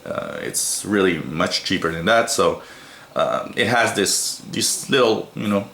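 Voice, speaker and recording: A man with a lowish voice talks through a casual, unscripted review. He explains things plainly and repeats his points. The room adds clear echo, with a little noise behind him. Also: animated, unhurried, pushed and bright. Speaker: product reviewer. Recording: echoey room with faint background noise.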